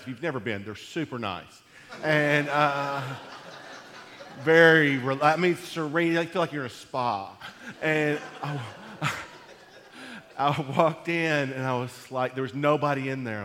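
A man preaching to his church in a folksy, rambling way, his voice gone deep and congested from allergies. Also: a bit bright, long reverb tail. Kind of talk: sermon. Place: church sanctuary.

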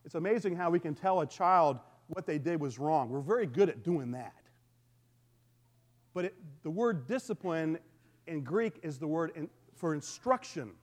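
A middle-aged man with a booming voice, preaching a conversational sermon to his congregation. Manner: lively, conversational preaching with call-and-response. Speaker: pastor.

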